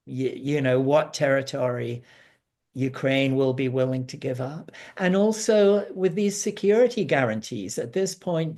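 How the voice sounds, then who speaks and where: Slow, halting man explaining. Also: medium pitch, darkish tone. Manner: halting, hesitant, frequently repeating words. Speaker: guest analyst on a panel. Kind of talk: informal explanatory panel talk.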